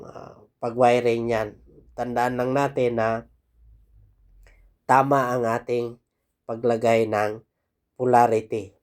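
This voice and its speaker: A Filipino man giving an informal technical tutorial as an instructor, pausing often in mid-sentence. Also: mid-range voice, darkish tone.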